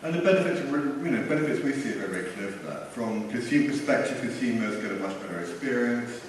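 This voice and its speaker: A man's voice: mid-range voice, expressive, fast talker. A man speaking on a conference panel, hesitant and leaning on filler phrases. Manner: hesitant, leans on "kind of" and "you know". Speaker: panelist, tech co-founder. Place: large conference hall. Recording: echoey hall from far off, faint noise.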